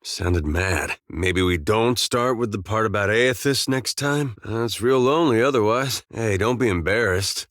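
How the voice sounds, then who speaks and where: A man playing an easygoing, wry farmer turned adventurer in a video game, with a laid-back, deadpan, folksy way of talking. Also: on the low side, a little slow, few pauses, no echo, clean studio sound. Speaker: video game companion character. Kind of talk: game dialogue and banter.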